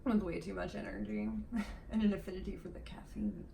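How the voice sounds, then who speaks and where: A woman in casual chat with a middle-pitched voice, playful and quick with jokes and wordy asides. She laughs often. She sounds distant in a roomy space, with faint noise in the background. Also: fairly even intonation, creaky, dark tone. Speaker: podcast guest. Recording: echoey room, distant mic, faint noise.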